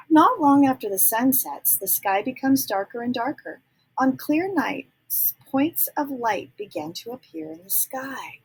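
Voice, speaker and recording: A woman reading a children's picture book aloud, pausing to ask young listeners gentle teacherly questions and dropping into soft whispers. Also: high voice, vocal fry, slightly rough, low-quality recording. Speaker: read-aloud storyteller.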